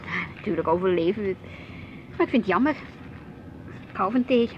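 A woman's voice: medium pitch, fairly quick, lo-fi sound, slight background noise, lightly acted. An actress playing a fussy, indecisive, easily embarrassed woman in a naturalistic radio play. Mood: mildly complaining. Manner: hesitant, mildly complaining, chiding.

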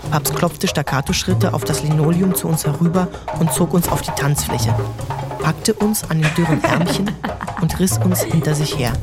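Male first-person narrator played by an actor, literary radio drama, incantatory short phrases, measured, music behind. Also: a little high, lightly acted. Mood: vivid. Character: grown son recalling his wild, hungry boyhood.

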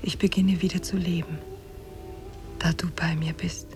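An actress in a 1950s German radio drama playing a devoted, cunning captive wife with soft, earnest delivery. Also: female voice, on the low side, level tone, a little slow, over music. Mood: tender, breathy. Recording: noisy 1950s radio recording.

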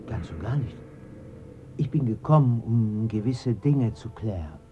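A man's voice: lowish voice, animated, unhurried, dark tone, highs cut off, soft background music. A man acting in a German radio play as an earnest, troubled friend seeking answers, insistent and dryly sardonic.

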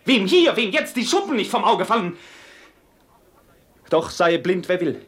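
Proud young knight, animated and formal. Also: male voice, medium pitch, measured pace. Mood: scornful, fervent. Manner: formal, fervent stage declamation. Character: proud, impassioned young Knight Templar, brusque but honourable. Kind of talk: 1950s German radio play acting.

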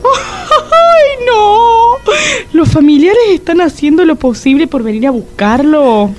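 Whiny argentine lady, high voice swinging up and down, drawn out and dramatic, radio comedy. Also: sing-song, slow pace, music in the background, full character voice. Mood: wailing. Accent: Argentine, Rioplatense. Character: whiny, dramatic woman, easily exasperated.

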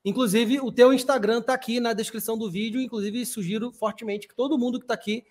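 A man's voice: very high-pitched, fairly even intonation, fast talker. A man hosting a casual podcast interview, speaking in an upbeat, wordy way with lots of fillers. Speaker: podcast host.

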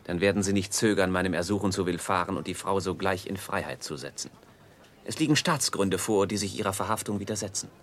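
A low male voice plays a courtly, self-assured nobleman acted by a man in a radio play. His is polished and gallant, with some noise on the recording. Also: brisk, close to an everyday voice. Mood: commanding politeness. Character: suave, courtly, self-assured aristocratic chevalier. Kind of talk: theatrical German radio drama acting.

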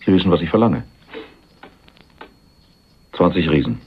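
An actor playing a wry, tough ex-soldier turned crook in a German crime radio play, laconic and sardonic. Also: male voice, low voice, lively intonation, unhurried, husky, exaggerated voice. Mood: sardonic, bargaining. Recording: narrow, muffled 1980s radio drama recording.

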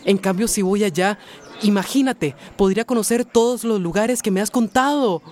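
Un actor como hijo adolescente, insistente y titubeante. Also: male voice, high-pitched, moderate pace, darkish tone, lightly acted. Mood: pleading. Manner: hesitant, pleading, repeats himself. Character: eager teenage son about to finish school. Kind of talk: radio drama acting.

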